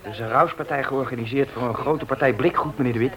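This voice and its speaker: A man acting a young errand boy in a radio drama. He sounds uneasy and respectful, hesitant in his phrasing, with a mid-pitched voice. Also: fast talker, a bit bright, natural voice, low-quality recording. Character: hesitant, deferential young male errand boy, conscience-troubled. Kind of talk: Dutch radio play acting.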